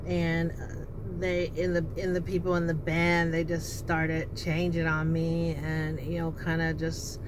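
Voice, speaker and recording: A woman's voice: low-pitched, measured pace. Rambling alto woman. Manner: rambling, with frequent restarts and filler words. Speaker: vlogger. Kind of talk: casual personal storytelling. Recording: steady background noise with passing vehicle sounds.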